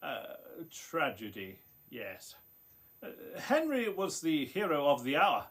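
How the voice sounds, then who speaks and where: An actor playing an intense, brooding young gentleman scientist in a radio play, with a theatrical, hesitant delivery. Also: on the high side, wildly swooping pitch, slow, slightly rough, exaggerated voice. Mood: weary, subdued.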